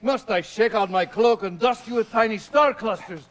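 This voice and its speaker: A flamboyant raving poet-adventurer. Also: male voice, high voice, normal speed, a bit bright. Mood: theatrical. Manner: flamboyant, theatrical, grandly rambling. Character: witty swaggering male poet posing as a raving space traveler. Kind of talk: stage-style period drama acting.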